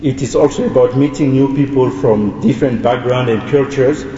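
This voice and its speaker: A young man from Ivory Coast speaks, formal and earnest, in a mellow, darker tone. He sounds far from the mic in a large hall with a long reverb tail. The sound is dull, with a faint background hum. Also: medium pitch. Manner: earnest, measured, with small restarts. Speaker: student speaker. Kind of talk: formal welcome speech.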